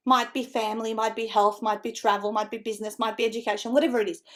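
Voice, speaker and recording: This middle-aged woman speaks with a Macedonian-tinged Australian accent over an English she learned in her teens. Her voice sits high, and she talks with eager, chatty energy, often checking in with her listeners as she coaches them on writing a book. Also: fast. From North Macedonia. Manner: energetic, chatty, frequent tag questions and laughs. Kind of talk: online seminar presentation.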